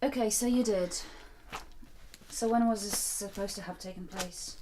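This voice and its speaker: A woman's voice: mid-range voice, a little slow, close to an everyday voice. Sceptical mother played by a woman, faint noise. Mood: sceptical, placating. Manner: sceptical, patient, chiding. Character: sceptical, placating mother of a schoolgirl. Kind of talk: naturalistic film drama dialogue.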